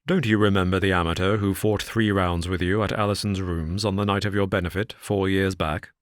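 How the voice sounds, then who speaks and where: A man's voice: sits low, fairly even intonation, fairly quick, dry recording, studio quality, natural voice. A man voicing a genial, confident detective in an easy, self-assured manner.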